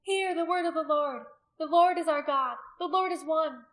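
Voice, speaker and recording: A woman voicing a devout, brave believer in a story, proclaiming her faith loudly and earnestly at a high pitch. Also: moderate pace. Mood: bold proclaiming.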